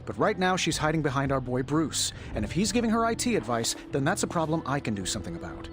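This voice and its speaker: An actor playing a flippant, confident tech-whiz hero in a video game, wry and glib. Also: male voice, a little high, sing-song, fast, soft background music. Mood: sarcastic, confident.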